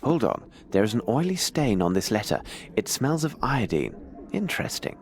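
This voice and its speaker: A fairly low-voiced, lively gentleman detective from a video game. He sounds cerebral and self-assured, musing aloud in formal, articulate sentences over faint noise. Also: normal speed. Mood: deducing. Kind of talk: video game dramatic narration to himself.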